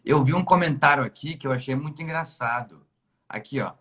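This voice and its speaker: A Brazilian man hosting a live-stream interview over a video call, asking relaxed questions and giving short replies. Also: medium pitch, fairly quick, husky. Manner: relaxed, brief backchannel replies and easy questions. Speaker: interviewer and host.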